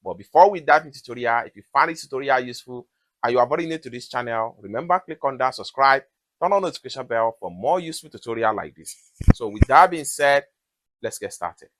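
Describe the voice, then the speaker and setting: A man's voice: medium pitch, expressive, quick pace, a bit bright. A man hosting a step-by-step phone tutorial, giving instructions in repetitive phrases as a close-miked voice-over. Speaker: tutorial host.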